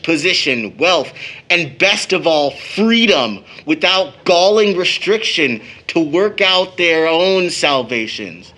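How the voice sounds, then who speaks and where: Bright, animated young uncle. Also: male voice, fairly high pitch, heavily acted. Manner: theatrical, mock-formal. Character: playful, teasing young uncle, mock-pompous, proud, later embittered. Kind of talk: audio drama acting.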